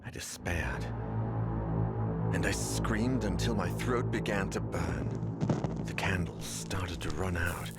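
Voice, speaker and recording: Low, slow, haunted ex-alcoholic game protagonist. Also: male voice, over loud music. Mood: desperate. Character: weary, bitter ex-alcoholic publishing employee, a haunted man. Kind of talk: first-person dramatic narration in a horror game.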